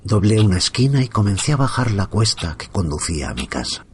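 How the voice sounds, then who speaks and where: A man from narrating a first-person horror story in a slow, hushed, suspenseful manner. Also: lowish voice, big pitch swings, slight background noise.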